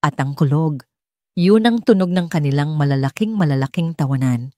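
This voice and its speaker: A woman voicing a gentle young nanny in an audiobook, soft and reassuring. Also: deep voice, animated, normal speed, dry recording, studio quality. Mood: soothing, playful.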